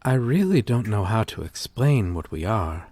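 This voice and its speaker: A man playing a courteous, melancholy vampire-like creature in an ASMR roleplay, speaking gently and intimately in formal, old-fashioned phrases. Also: on the low side, big pitch swings, normal speed, husky, no room sound. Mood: hesitant, wistful. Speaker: roleplay voice performer.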